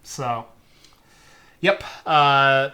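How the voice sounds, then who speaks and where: A man's voice: mid-range voice, very slow, sharp tone. A man hosting a casual tech podcast, still getting over a cold and sniffling, chatting in a laid-back, jokey way with many fillers into a close desk mic. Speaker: show host. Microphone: desk microphone close by.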